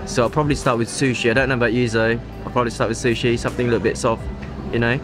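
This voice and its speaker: A young man talks to camera in a relaxed, easygoing manner, with soft fillers and a mid-range voice. He is filmed up close on a handheld camera in a busy indoor mall restaurant. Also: medium pitch, racing, music in the background. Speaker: food vlogger. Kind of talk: casual vlog chat to camera.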